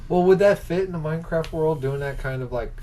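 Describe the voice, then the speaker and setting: A man's voice: fairly high pitch, a little fast, warm tone. A man co-hosting a podcast in casual, joking banter with friends. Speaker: podcast co-host.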